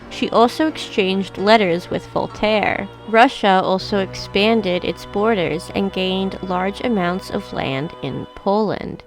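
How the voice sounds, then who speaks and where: A woman of middle pitch narrates a history video in a calm, measured way, like someone reading a prepared script. Her voice sounds a touch off because she is still getting over a cold. Soft music plays quietly underneath. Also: low-quality recording. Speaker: host of a history video channel.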